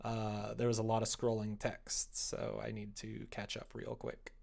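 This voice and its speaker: A man's voice: on the low side, completely flat delivery, unhurried, gravelly, a little mellow. A young man with an American accent chats casually on a livestream, rambling expressively as he reacts to comments. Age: young adult. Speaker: live streamer. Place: indoor room at a desk.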